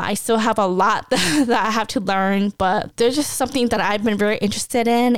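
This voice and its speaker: A woman's voice: medium pitch, clear, resonant tone, no echo. A woman in her twenties talking casually as a podcast host, chatty, laughing often and restarting her sentences. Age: 20s. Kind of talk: casual personal talk.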